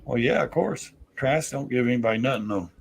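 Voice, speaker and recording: Male host, drawl, relaxed rambling chat. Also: mid-range voice, a little fast, creaky, slight background noise. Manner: laid-back rambling with laughs. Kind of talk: casual chat.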